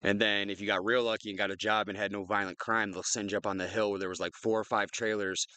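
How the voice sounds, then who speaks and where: A man's voice: lowish voice, flat delivery, fast, pushed and bright, dry recording. A young man from Flint, Michigan, casually telling crude jail stories and laughing as he mimics people. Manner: profane storytelling, laughs while doing voices. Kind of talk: casual chat.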